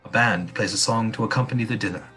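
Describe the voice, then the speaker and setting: Male narrator, slightly low voice, measured scripted drama narration, faint music behind.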